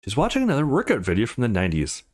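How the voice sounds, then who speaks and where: A self-assured young visual novel hero, read aloud by a man, musing and talking in a casual way. The pitch sits in the middle and moves with very lively swings. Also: very fast. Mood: relieved, musing. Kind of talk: reading game narration and dialogue.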